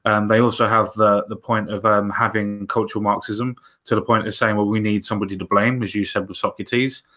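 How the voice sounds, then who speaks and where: Bright-toned opinionated man. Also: on the low side, flat delivery. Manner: opinionated, quick and emphatic. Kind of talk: casual podcast discussion.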